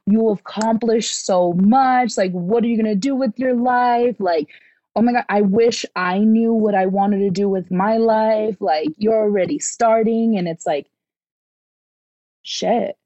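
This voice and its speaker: A woman in her twenties, a podcast guest in casual chat, animated and swearing now and then as she acts out what people said. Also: mid-range voice, measured pace, dry recording, lo-fi sound. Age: 20s.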